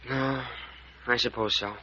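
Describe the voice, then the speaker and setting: A man's voice: mid-range voice, fairly even intonation, unhurried, sharp tone. An actor playing an earnest, devoted young man in old-time radio drama, boyish and attentive. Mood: eager. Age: young adult. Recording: dull, band-limited old radio recording.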